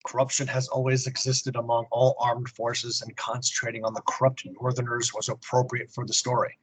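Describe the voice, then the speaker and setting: A man with a mid-pitched, somewhat husky voice co-hosts a podcast. He moves between reading correspondence aloud and off-the-cuff, casual conversation. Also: monotone, fairly quick, rough recording. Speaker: podcast co-host. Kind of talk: casual chat and reading listener email aloud.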